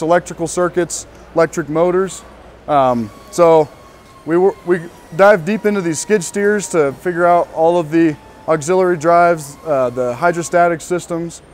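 A young man from northern Colorado, a student casually showing visitors around a large diesel workshop, pausing often with ums and restarts. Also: a little high, a little hiss or hum. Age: young adult. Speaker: student giving a shop tour. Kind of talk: walking tour guide talk.

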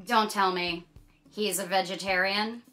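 A woman's voice: medium pitch, fairly even intonation, unhurried, sharp tone, faint music behind, pitched below the performer's own voice. A woman voicing a dramatic, increasingly exasperated storybook narrator in a children's read-aloud. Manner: dramatic, emphatic, fed up. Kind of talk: animated children's picture-book read-aloud.

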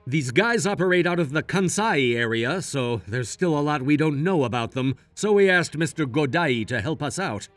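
A man's voice: on the high side, lively intonation, moderate pace, hardly any pauses, a bit bright, dry recording, faint music behind. A man voicing a casual, slightly timid young gangster explaining things in a manga dub. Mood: explanatory. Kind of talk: animated manga dub dialogue.

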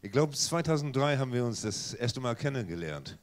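Scottish host, laughing, lively pitch. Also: male voice, a little fast. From Scotland. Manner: laughs often, short agreeing replies. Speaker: onboard show host. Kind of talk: stage interview. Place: ship theater before an audience.

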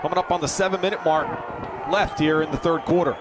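A man calling a college football game play by play, brisk and rising with excitement on big plays. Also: fairly high pitch. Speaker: play-by-play announcer. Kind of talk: live football play-by-play commentary. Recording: broadcast with loud crowd and noise behind.

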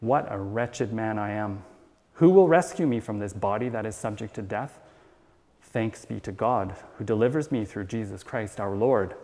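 A middle-aged man reads a sermon aloud from the pulpit. His delivery is measured and earnest, clearly following a script. The sound carries the long reverb of a church hall. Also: medium pitch, warm tone. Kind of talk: read sermon. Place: church sanctuary at a wooden pulpit, indoors.

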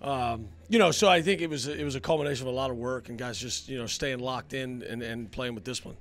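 A middle-aged man, a head football coach, answering postgame questions in a halting way with many fillers, into a handheld mic indoors. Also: mid-range voice, fairly bright tone. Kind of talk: postgame interview. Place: indoor locker room area. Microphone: handheld mic held by interviewer.

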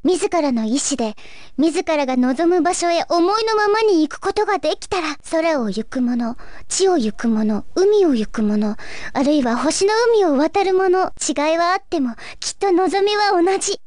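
An actress playing a gentle, earnest young fairy guide of a dream world in a video game, with a wistful, pleading manner. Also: female voice, very high-pitched, expressive, moderate pace, no room sound, studio quality. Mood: wondering. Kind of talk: Japanese video game story acting.

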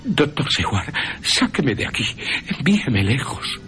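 A man's voice: mid-range voice, very animated, unhurried, pushed and bright, pitched above the performer's own voice, heavily acted. A man voicing a volatile, pleading asylum patient in a radio play, agitated and prone to stammering, with music underneath.

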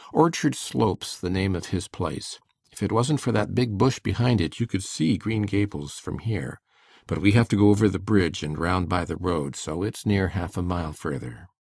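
A male actor as a shy older farmer in a storybook drama. His voice sits extremely low and rasps with husky gravel. He talks in a plain, folksy, hesitant way, recorded close and dry. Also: lively intonation, normal speed, no room sound, exaggerated voice. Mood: matter-of-fact. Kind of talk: dramatic audiobook reading.

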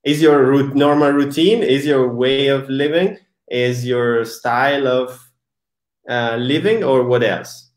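A man with an Italian accent hosting a live online interview, slow and hesitant with many fillers. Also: mid-range voice. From Italy. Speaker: host. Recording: echoey room.

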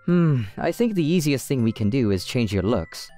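A woman's voice: very deep voice, moderate pace. A woman voicing an eager, easily flustered teenage otaku schoolboy in a manga romcom dub, sometimes hesitant and stammering. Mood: reflective narrating. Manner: excitable, often stammering and hesitant. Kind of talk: manga dub acting with first-person narration. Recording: music behind the voice.

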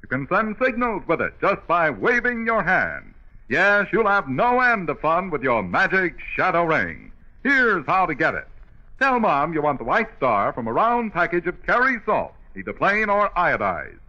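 American guy doing old-time radio ad, super animated and bright, muffled recording. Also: fairly high pitch, moderate pace. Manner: enthusiastic salesmanship aimed at children. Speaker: commercial announcer. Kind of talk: 1940s radio ad pitch.

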